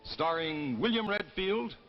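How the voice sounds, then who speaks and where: An American man announcing a 1950s anthology broadcast and reading the sponsor's pitch in a formal, polished, salesmanlike way. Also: expressive, slow. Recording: muffled 1950s broadcast with music behind.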